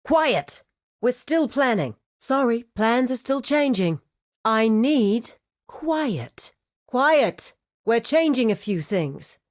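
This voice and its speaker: An actress playing a composed, businesslike crime cartel administrator in a video game, speaking in a formal, measured, authoritative way. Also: female voice, a little high, sing-song, no room sound, clean studio sound. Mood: hushing, harried. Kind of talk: scripted video game character dialogue.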